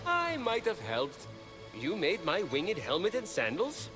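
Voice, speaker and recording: A man's voice: sits high, huge pitch swings, measured pace, exaggerated voice. A man playing a playful, casual trickster messenger god in a video game cutscene. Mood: breezy. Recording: loud game music underneath.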